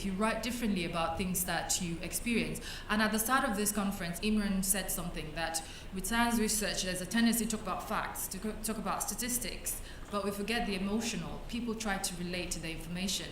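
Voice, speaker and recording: A young woman from Cameroon talks a conference audience through her slides, her voice at an ordinary middle pitch. She speaks conversationally, pausing on fillers and restarting phrases as she goes. The sound is roomy and a little distant, with faint hall ambience behind her. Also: fairly bright tone, slight background noise. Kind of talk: conference talk presenting slides. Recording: echoey hall, mic at a distance.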